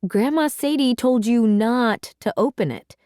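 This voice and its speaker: Woman playing a stern mom with swooping, drawn-out speech. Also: on the high side, lively intonation, dark tone, clean tone, no echo, clean studio sound. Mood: stern, scolding. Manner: drawn-out, reproachful, emphatic. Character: stern, skeptical mother scolding her young daughter. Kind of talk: children's audiobook read-aloud.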